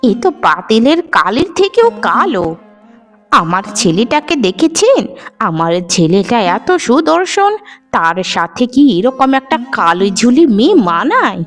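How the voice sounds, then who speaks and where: A woman's voice: fairly high pitch, very animated, unhurried, faint music behind. A woman voicing a harsh, domineering middle-aged village mother in a cartoon, with a scolding, disdainful manner. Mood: scornful, scolding. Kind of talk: cartoon voice acting.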